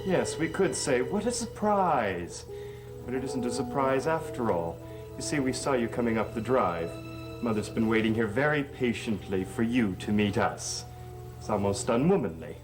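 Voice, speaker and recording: A man's voice: mid-range voice, animated, normal speed, lightly acted, poor audio. Actor as snide affected young rich guy, gothic soap, sardonic, music under it. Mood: mocking. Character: arch, insolent young male aristocrat, suspicious and mocking. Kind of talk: 1970s gothic soap opera acting. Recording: music behind the dialogue.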